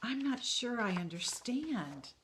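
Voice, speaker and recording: A woman's voice: very animated, slow, fairly bright tone, slight background noise, exaggerated voice. A woman voicing a small, anxious wooden boy puppet in a children's storybook. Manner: anxious, pleading, high.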